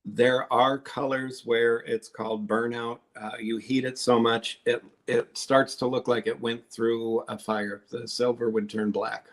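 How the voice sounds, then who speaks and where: A man's voice: mid-range voice, level tone, unhurried, hardly any pauses, rough recording. A man on a live online sale, chatting casually as he shows and explains his glass pieces. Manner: chatty, explains techniques while showing pieces.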